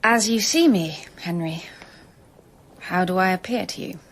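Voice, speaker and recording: A woman playing a gentle, grieving young Victorian gentlewoman in an earnest, polite period radio drama style. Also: on the low side, a little slow. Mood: sorrowful.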